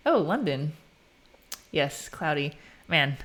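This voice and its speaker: Woman, American accent, low pitch, casual live coding stream, chatty, thinking aloud. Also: sing-song, takes it slowly, a bit bright. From United States.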